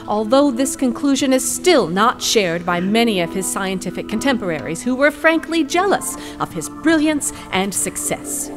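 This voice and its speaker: A woman's voice: medium pitch, lightly acted. A woman acting as a poised, combative attorney in a courtroom audio drama, speaking formally and eloquently with a pointed edge.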